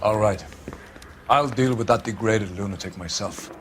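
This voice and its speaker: An actor playing a gruff, commanding older police captain in a video game, grandiloquent and menacing. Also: male voice, on the low side, normal speed, a bit bright, a little hiss or hum, natural voice. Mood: grim, commanding. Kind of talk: dramatic video game acting.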